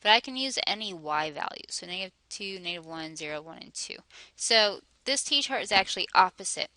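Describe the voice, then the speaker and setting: A woman teaching a math lesson, working through each step aloud, her voice a little hoarse. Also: on the low side, sharp tone, dry recording. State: slightly hoarse, losing her voice.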